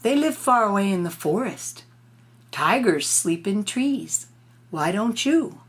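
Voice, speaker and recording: A woman's voice: mid-range voice, lively intonation, slow, slightly rough. An older woman voicing a friendly storybook tiger, helpful and emphatic, with a simple delivery. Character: friendly storybook tiger who advises tree naps.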